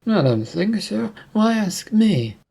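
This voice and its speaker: A man voicing a defensive, unsure woman in a fantasy story, hesitant and stammering, with a husky edge. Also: high-pitched, very animated, takes it slowly, mellow, pitched above the performer's own voice, full character voice. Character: Claudia, defensive, unsure woman native to the realm.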